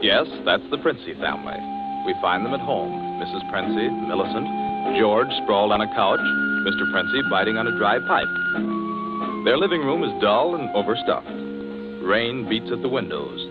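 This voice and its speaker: A man's voice: mid-range voice, huge pitch swings, moderate pace. A man announcing and narrating a 1940s radio drama with emphatic, dramatic delivery. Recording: lo-fi old radio broadcast with music.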